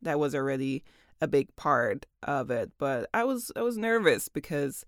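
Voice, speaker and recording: A woman voice actor with a deep voice, chatting casually about her work, laughing often and using lots of fillers. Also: very animated, normal speed, no room sound, clean studio sound. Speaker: voice actor and audiobook narrator.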